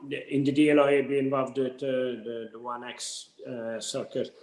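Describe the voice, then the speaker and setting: A man's voice: mid-range voice, little pitch movement, normal speed, a little mellow. A man giving an informal explanation. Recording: roomy, echoing sound.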